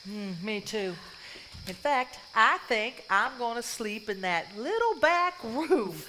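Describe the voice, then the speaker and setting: A woman's voice: fairly high pitch, lively intonation, slow pace, sharp tone, heavily acted. An actress playing a weary, unhappily married 1950s Southern mother in a live stage drama, with a Southern US accent. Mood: chatty, sympathetic. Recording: live stage recording, background hiss and ambience.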